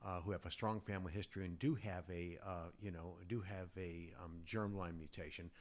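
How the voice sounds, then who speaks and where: Deep-voiced man musing on a panel. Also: fairly even intonation, fairly quick. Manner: thinking aloud, repeats words. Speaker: urologist panelist. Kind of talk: panel discussion.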